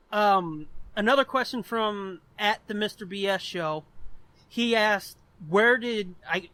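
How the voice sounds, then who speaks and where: A man's voice: sits high, bright, forceful tone, dry recording. A man in his twenties telling a story on a podcast in a rambling, casual way, swearing often. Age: 20s. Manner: profane and rambling. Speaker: podcast co-host. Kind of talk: casual chat.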